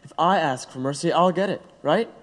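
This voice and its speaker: A man's voice: a little high, brisk, natural voice. A man playing a principled, earnest condemned American prisoner who stands firm in an audio drama. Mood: resolute. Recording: reverberant room.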